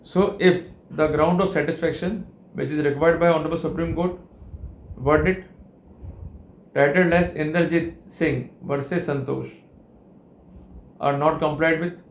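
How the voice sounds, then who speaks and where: A man with an Indian accent giving an explanatory legal lecture in a deliberate, formal way, often repeating his connecting phrases. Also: fairly high pitch, steady tone, a little hiss or hum.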